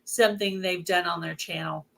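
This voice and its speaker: Bright-voiced chatty woman host. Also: lowish voice, steady tone, normal speed, vocal fry. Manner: chatty, many filler words. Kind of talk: casual livestream chat.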